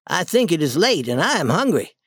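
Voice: mid-range voice, big pitch swings, brisk, fairly bright tone, husky, no echo, studio quality, voice pushed up, full character voice. A storybook reader voicing a bold, eager young boy adventurer in a raised voice, confident and persuasive.

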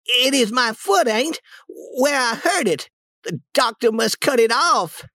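A narrator voicing a melodramatic young boy who fakes agony with whining, drawn-out pleading in a children's audiobook. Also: mid-range voice, expressive, bright, forceful tone, dry recording, pitched above the performer's own voice. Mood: whining, pained.

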